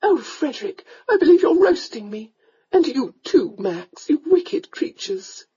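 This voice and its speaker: A narrator putting on a high fluttery countess mother. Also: sing-song, measured pace, dark tone, highs cut off. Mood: fretful. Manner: anxious, gushing, apologetic.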